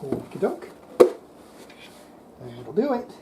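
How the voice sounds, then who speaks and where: Woman repair host, low-ish voice, very animated pitch, casual and exasperated, cursing mildly as she works. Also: slow, noisy background. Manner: exasperated, mutters to self, mild swearing. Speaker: host of a radio repair video. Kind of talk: casual talk while working at a repair bench.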